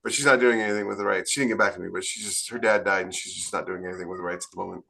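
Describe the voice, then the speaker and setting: A man's voice: deep voice, fairly even intonation, rapid-fire, creaky, bright, forceful tone. A man co-hosting a podcast as a science fiction author, chatting casually and enthusiastically in rambling explanations. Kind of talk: casual panel chat.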